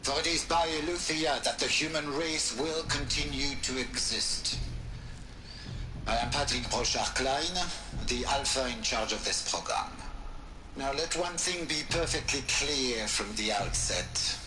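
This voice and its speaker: An actor playing a proud, exacting scientist who delivers a formal recorded briefing in a video game. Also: male voice, mid-range voice, unhurried, music in the background, close to an everyday voice, rough recording. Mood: proud, firm. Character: precise, stern scientist heading a program.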